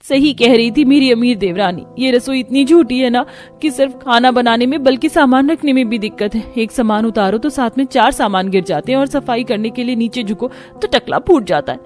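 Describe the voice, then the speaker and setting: A woman's voice: a little high, fairly quick, darkish tone, lightly acted. A woman playing a harried, grumbling poor elder daughter-in-law in a cartoon family story. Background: background music.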